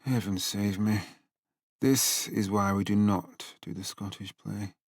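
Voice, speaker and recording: An exasperated theater director in his fifties speaks in an audiobook drama. His voice is fairly low and weary, with slow delivery and a dry, close sound. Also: fairly even intonation, no echo. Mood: weary dismay.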